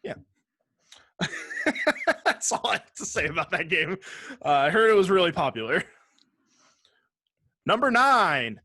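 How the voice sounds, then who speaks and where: A man's voice: high voice, sing-song, measured pace, long pauses, pushed and bright, dry recording, clean studio sound. A man co-hosting a podcast, chatting casually with some swearing as he runs through a ranked list. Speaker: podcast co-host. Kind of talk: casual chat, reading out a ranked list with commentary.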